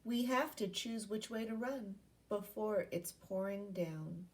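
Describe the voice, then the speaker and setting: A woman's voice: mid-range voice, slow, far from the mic. A woman primary school teacher giving an interactive read-aloud lesson to young children, asking questions and repeating key points in an instructional way.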